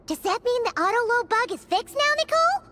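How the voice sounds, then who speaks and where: A tiny, excitable fairy companion from a video game. Her squeaky childlike voice is extremely high and bounces brightly around, with loud game noise behind it. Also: normal speed. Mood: surprised, excited.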